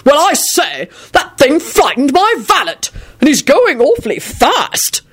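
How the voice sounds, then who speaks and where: A woman's voice: high-pitched, wildly swooping pitch, a little slow, pitched above the performer's own voice, full character voice. A woman voicing a pompous elderly grandfather in an audiobook, blustering and indignant in old-fashioned phrases.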